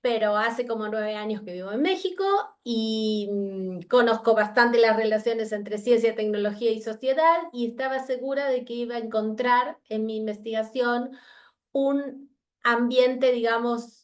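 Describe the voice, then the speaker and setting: A woman's voice: a little high, normal speed. A woman from Argentina with an Argentine Spanish accent, giving an academic lecture by video conference and talking thoughtfully with fillers and restarts. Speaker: university researcher giving a talk.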